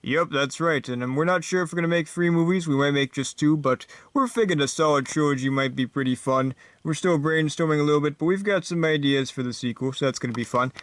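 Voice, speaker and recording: A man's voice: a little high, quick pace, hardly any pauses, dry recording, lightly acted. A man voicing a self-assured, casual king who chats and explains as a guest on a mock talk show. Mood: chatty. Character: pompous male king guest, cool and chatty.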